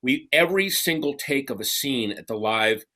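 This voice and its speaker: A man on a reunion panel, reminiscing casually in an effusive, halting way. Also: medium pitch, animated, pushed and bright. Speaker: cast member on reunion panel.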